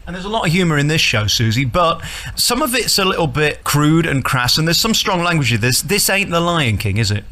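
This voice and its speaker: A man hosting a radio interview, teasing and flattering his guests. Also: on the high side. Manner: teasing, flattering, fast-talking. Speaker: radio host.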